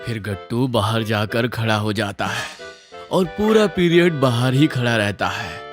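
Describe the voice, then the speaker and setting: A woman's voice: very deep voice, animated, a little slow, music in the background. A woman narrating a scripted children's story.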